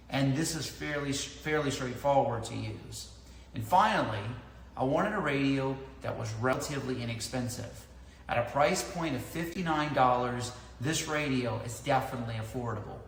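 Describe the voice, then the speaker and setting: A man in his thirties explaining a product methodically to camera, as a reviewer does. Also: medium pitch. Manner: methodical, explaining points in order. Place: large indoor lounge with tiled floor. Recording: echoey hard-walled room, clear background noise.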